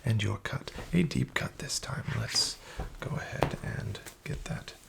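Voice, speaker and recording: A man voicing a sketchy, condescending casino dealer in a soft-spoken ASMR roleplay, half-whispering with false politeness. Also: mid-range voice, lively intonation, measured pace, vocal fry. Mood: smarmy.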